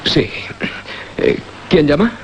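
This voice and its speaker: A man's voice: slow pace, dull audio, a little hiss or hum, lightly acted. A man playing an anxious, defensive young student in a radio drama, agitated and full of questions, over music. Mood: uneasy, urgent. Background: loud suspense music.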